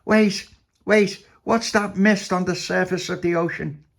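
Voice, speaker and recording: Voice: mid-range voice, steady tone, a little slow, vocal fry, voice pushed up. A performer voicing a bossy female ship captain who scolds and orders the crew about in a children's story. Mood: urgent, bossy. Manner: commanding, scolding.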